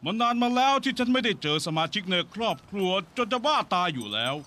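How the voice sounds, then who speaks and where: A man's voice: very high voice, sing-song, fast, pushed and bright, slight background noise, full character voice. A man voicing a plump, bubbly animal showman. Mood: eager. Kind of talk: Thai cartoon dub.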